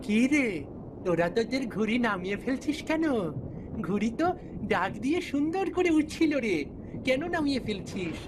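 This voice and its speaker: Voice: medium pitch, very animated, normal speed, background noise, close to an everyday voice. A performer voicing a stubborn, careless village boy in a cartoon, whiny and pleading when things go wrong. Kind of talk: cartoon voice acting.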